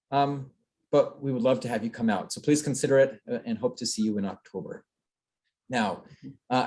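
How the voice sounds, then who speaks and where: Mid-pitched man, halting, earnest welcome speech. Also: a little mellow. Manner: halting, repeats words, earnest. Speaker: committee co-chair introducing event. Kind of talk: semi-formal welcome speech, partly off notes.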